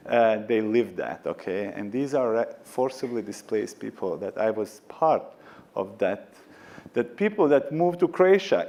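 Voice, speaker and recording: A man who grew up in the former Yugoslavia speaks on a conference stage, mid-pitched and plain. He talks haltingly, with many fillers and restarts. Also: a little mellow. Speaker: panelist. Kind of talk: panel discussion.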